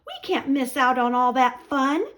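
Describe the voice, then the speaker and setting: A woman's voice: high voice, measured pace, a bit bright. A woman voicing an eager, scheming turkey for a children's storybook, exclaiming and gobbling when frustrated. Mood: groaning, frustrated. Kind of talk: children's storybook read-aloud.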